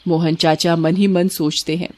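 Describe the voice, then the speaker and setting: A woman's voice: on the low side, level tone, moderate pace, warm tone. A woman narrator telling a scripted children's story.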